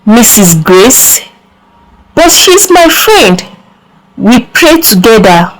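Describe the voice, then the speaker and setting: Woman reading as a distressed village mother, unhurried. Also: on the high side, sing-song, low-quality recording. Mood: shocked. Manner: pleading, disbelieving.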